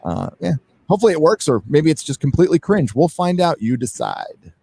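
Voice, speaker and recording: A man's voice: fairly high pitch, big pitch swings, fairly quick, vocal fry, mellow, husky. A man hosting a casual film discussion, blunt and swearing often in his talk. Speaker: livestream host.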